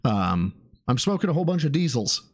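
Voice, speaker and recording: A man's voice: medium pitch, very animated, fairly quick. A man streaming a reaction video, talking casually and swearing freely. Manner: profane, blunt, rambling. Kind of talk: casual livestream commentary.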